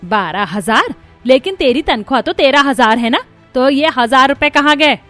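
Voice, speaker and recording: A woman acts out a bitter, middle-aged cartoon mother-in-law. Her voice is very high, and she nags, scolds and complains sarcastically. Music plays underneath. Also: animated, normal speed, a bit bright, dry recording. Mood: suspicious, demanding. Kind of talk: Hindi cartoon story dubbing.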